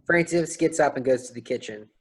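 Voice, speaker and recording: A stage directions reader at a staged play reading. The voice sits at a medium pitch and delivers scripted narration of the characters' movements. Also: fairly quick, slightly rough.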